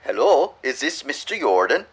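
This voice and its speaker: A man's voice: medium pitch, very animated, normal speed, very noisy. An actor playing an insistent, mysterious Swedish man with a Swedish accent in a comedy audio drama. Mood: urgent, insistent.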